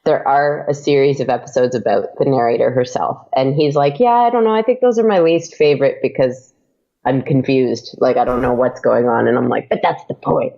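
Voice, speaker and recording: A woman's voice: a bass voice, fairly quick, creaky, dark tone. A young adult woman chatting as a podcast guest, laughing often and using many fillers. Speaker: podcast guest, audio drama creator. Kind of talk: casual interview chat. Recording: dull lo-fi podcast audio.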